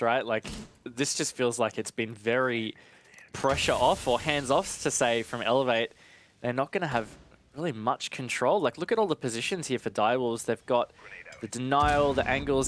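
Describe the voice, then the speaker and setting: A man's voice: mid-range voice, moderate pace, fairly bright tone, a little hiss or hum. A man giving conversational live analysis as an esports caster, in an informal way with casual asides.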